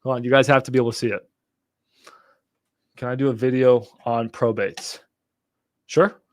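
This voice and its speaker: A young man hosting a live stream on real estate wholesaling, talking casually to his chat and getting excitable and exasperated at times. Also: medium pitch, moderate pace, dry recording, clean studio sound. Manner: excitable, swears when exasperated, talks to chat. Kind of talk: casual livestream teaching.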